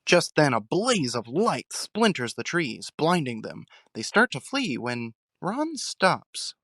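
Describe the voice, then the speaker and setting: A man's voice: on the high side, big pitch swings, takes it slowly, vocal fry, a bit bright, no echo. A man reading scripted narration for a fan audio drama, recorded close on the mic. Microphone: close mic, dry recording.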